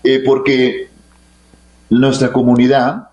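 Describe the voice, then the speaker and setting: A man's voice: medium pitch, level tone, slow, darkish tone. Chatty, playful man hosting an interview. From Peru. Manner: chatty, playful, lots of backchannel uh-huhs. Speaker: interviewer, digital creator.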